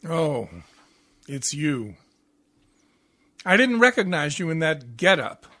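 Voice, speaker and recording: A man acts out an exasperated husband behind bars in a vintage radio comedy. The voice is very bright and forceful, with a dry, close sound. He grumbles with sour sarcasm. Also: fairly high pitch, sing-song, slow, no room sound, heavily acted. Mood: sullen sarcasm. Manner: grumbling, sardonic. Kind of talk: 1940s radio comedy acting.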